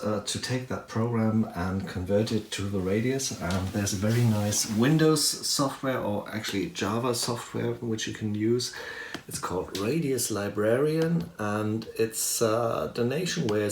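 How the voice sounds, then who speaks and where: A man giving a hands-on, unscripted synthesizer tutorial, thinking aloud as he works the gear. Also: on the low side. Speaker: demonstrator of a synthesizer patch. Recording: roomy echo, noticeable background noise, clicks.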